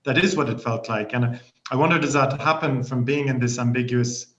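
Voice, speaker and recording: A man's voice: mid-range voice, fairly quick, a bit bright. Man host in an echoey room. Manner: engaged, hesitant with ums. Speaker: professor, host. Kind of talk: interview questions. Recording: echoey room, dull lo-fi audio.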